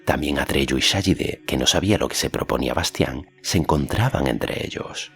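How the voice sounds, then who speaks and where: Very deep-voiced male storyteller. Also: normal speed, husky. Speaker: audiobook narrator. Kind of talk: scripted literary narration.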